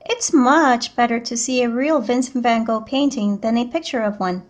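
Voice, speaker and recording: A woman teacher reading a picture book aloud to children in a clear, expressive storytelling manner. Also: a little high, normal speed. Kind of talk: children's read-aloud narration.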